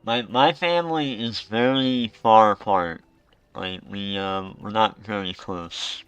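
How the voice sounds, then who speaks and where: A man's voice: on the low side, takes it slowly, a bit bright, smooth, muffled recording. A man in his forties chatting casually as he streams a game, rambling and musing aloud. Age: 40s. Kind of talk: casual live-stream chat while gaming.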